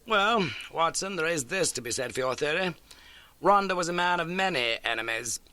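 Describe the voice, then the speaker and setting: A man performs a keen, masterful detective from an audiobook, pitched up and swooping with theatrical relish. The delivery is bright, brisk and emphatic, every point put incisively. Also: expressive. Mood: incisive, wry. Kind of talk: audiobook character dialogue.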